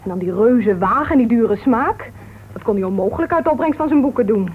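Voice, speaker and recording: A woman's voice: a little high, lively intonation, brisk, lightly acted. An actress playing an opinionated, gossipy woman crime writer in a radio detective drama, speaking in a pointed, emphatic way. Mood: suspicious, knowing. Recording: tinny, noisy old radio recording.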